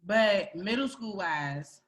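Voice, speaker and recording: A woman born in Greece chatting casually on a podcast, animated, laughing often and swearing freely. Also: mid-range voice, slow.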